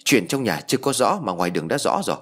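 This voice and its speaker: A man's voice: on the low side, animated, quick pace. A man voicing a hot-tempered, foul-mouthed old village wife who scolds and laments with raw emotion in an audio story. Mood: angry, brusque.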